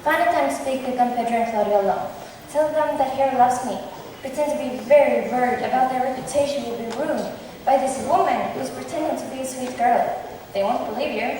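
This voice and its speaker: A woman's voice: high voice, close to an everyday voice. A woman acting male roles in an amateur school production of a modernized Shakespeare comedy. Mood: plotting. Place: large echoey indoor hall. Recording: very echoey hall from far off, hissy.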